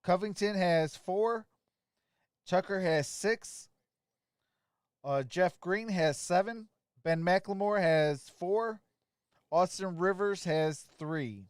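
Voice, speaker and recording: A man's voice: sits high, slow, pauses often, clean tone, no room sound, clean studio sound. A man doing amateur play-by-play of a basketball game as a stream host, in terse, clipped phrases. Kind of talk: amateur play-by-play commentary and score updates.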